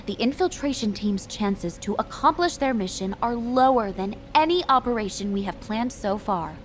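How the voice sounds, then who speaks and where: An actress playing a composed, authoritative female military commander, speaking formally and in measured phrases for a game. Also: medium pitch, lively intonation, slight background noise, natural voice. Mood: grave, composed. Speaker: military adjutant giving a briefing. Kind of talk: scripted game dialogue, mission briefing.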